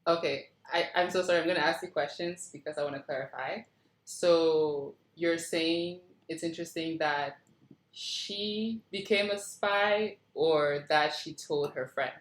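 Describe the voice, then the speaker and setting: A writer runs a casual feedback session in a mid-pitched voice. The manner is apologetic and inquisitive, often checking and clarifying, and the sound carries a noticeable room echo. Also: a little slow. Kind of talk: casual workshop discussion. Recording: roomy, echoing room sound.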